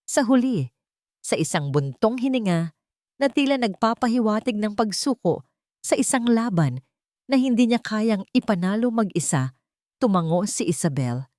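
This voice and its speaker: A woman's voice: mid-range voice, animated, normal speed, warm tone, dry recording, clean studio sound. A woman with a Filipino accent giving dramatic scripted narration of a romance story.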